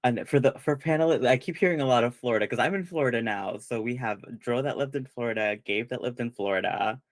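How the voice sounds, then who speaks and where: A man's voice: mid-range voice, quick pace, no echo, clean studio sound. A young man from Peru hosting a casual panel chat, rambling with lots of fillers. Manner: chatty, many fillers and restarts. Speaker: panel host.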